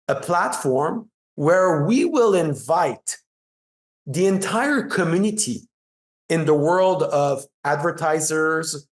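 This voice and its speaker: A middle-aged man with a French Canadian accent, French his first language, speaking as a guest in an interview, telling stories with emphasis and frequent restarts. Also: on the high side. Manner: animated, emphatic storytelling with restarts. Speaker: PR agency founder, guest. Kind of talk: conversational interview.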